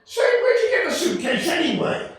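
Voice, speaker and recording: A man playing a breezy, hurried teenage younger brother in a radio play, heard in an echoey hall. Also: extremely high pitch, big pitch swings, a bit bright, far from the mic, exaggerated voice. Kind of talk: 1940s radio play acting on stage.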